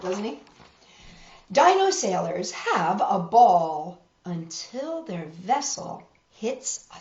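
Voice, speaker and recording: A woman reading a picture book aloud to children in a measured, expressive way, with emphasized rhymes and friendly asides. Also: medium pitch. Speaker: storytime host reading picture books. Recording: roomy echo, slightly dull sound.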